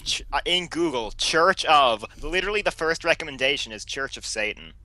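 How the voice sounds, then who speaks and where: A man's voice: on the high side, animated, a little fast, sharp tone, low-quality recording. A young American man co-hosting a comedic story reading, chatting casually in a puzzled, self-deprecating way. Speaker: co-host of a comedic read-aloud show. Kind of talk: casual chat, joking commentary.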